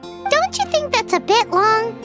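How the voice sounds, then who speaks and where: A woman's voice: extremely high pitch, sing-song, unhurried, heavily acted. A woman voicing a tiny, cheeky, childlike fairy sidekick in a video game, exclamatory and chirpy. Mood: cheeky, skeptical. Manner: speaks of self in third person, exclamatory. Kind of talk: English video game voice acting. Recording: music playing behind the voice.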